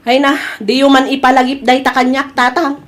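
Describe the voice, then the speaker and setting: Defensive, dismissive wife, played by a woman, high voice, Ilocano accent, domestic comedy drama. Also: level tone, a little fast, lightly acted. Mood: defensive, irritated.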